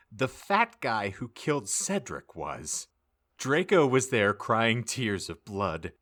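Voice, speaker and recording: Low, bright man narrating with theatrical flair. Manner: mock-serious, theatrical. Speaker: podcast host reading an audiobook. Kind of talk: comic dramatic narration of fan fiction.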